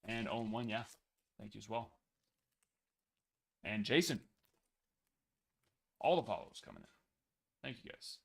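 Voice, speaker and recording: A young man hosting a live card break, chatting casually and reading numbers off in a steady list, his voice a little worn. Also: on the low side, measured pace, creaky, pauses often. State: losing his voice.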